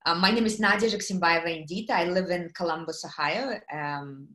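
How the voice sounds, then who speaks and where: A middle-aged woman from Kazakhstan, giving a lively webinar talk on a video call, with many fillers and questions to her audience. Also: lowish voice, fairly quick, reverberant. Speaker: guest speaker. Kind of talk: webinar presentation. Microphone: computer mic on video call.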